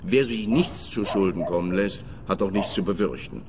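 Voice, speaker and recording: An actor playing a sardonic police officer who mocks the detective. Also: male voice, deep voice, moderate pace, background noise, close to an everyday voice. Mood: dry. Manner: sneering, sarcastic. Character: sardonic male police officer, middle-aged, mocking.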